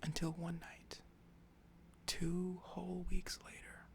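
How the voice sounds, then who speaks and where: A tired ASMR storyteller who whispers a drifting improvised tale. The whisper is gravelly and textured. The pitch barely moves, and the voice keeps a steady hush throughout. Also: slow pace. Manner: soft-spoken, rambling, apologetic asides.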